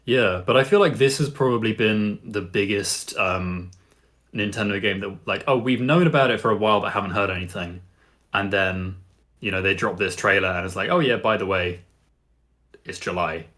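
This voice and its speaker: A man's voice: lowish voice, moderate pace, bright, forceful tone. A man chatting casually as a co-host on a podcast. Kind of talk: casual chat.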